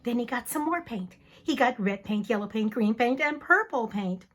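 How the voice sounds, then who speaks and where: Teacher, woman, high and very animated, slow dramatic storybook reading. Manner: expressive, theatrical storytelling. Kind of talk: dramatic picture-book reading.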